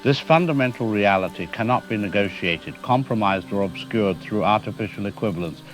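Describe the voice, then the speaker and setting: A man's voice: fairly low pitch, animated, a little fast, soft background music, close to an everyday voice. A man playing a stern, uncompromising government official in an audio drama, with a formal, bureaucratic delivery. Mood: stern, unyielding. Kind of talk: scripted audio drama political statement.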